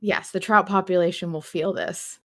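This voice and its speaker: A woman's voice: on the low side, fairly even intonation, normal speed. A woman hosting a podcast in a casual, conversational fan discussion. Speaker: podcast host.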